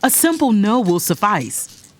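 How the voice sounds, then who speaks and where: A young actress playing a sharp, bossy adult daughter and lawyer in an audio drama, with a stern, commanding manner. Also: female voice, mid-range voice, sing-song, a little slow, a little hiss or hum. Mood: stern, exasperated. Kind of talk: audio drama acting with first-person narration. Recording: music behind.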